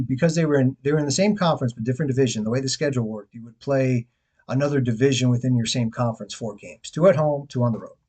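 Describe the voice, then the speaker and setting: Man in his forties, husky, travel vlogger, rambling casual storytelling. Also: medium pitch, a little mellow. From Detroit, USA. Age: 40s. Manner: rambling, with restarts and chuckles.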